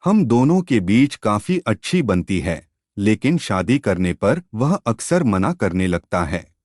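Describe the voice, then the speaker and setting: Man, scripted story narration, unhurried pace, lively rise and fall. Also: lowish voice, dry recording, clean studio sound. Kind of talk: scripted narration of relationship stories and advice.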